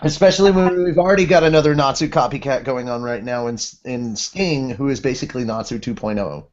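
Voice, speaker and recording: A man's voice: mid-range voice, fast talker. A man co-hosting a podcast in casual banter, rambling and repeating phrases for emphasis. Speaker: podcast co-host. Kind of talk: casual banter about anime. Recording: dull lo-fi audio.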